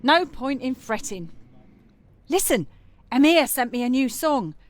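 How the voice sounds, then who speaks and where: An actress playing a young, feisty Parisian street singer in an audio drama, earthy, blunt and defiant. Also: female voice, sits high, sing-song, measured pace.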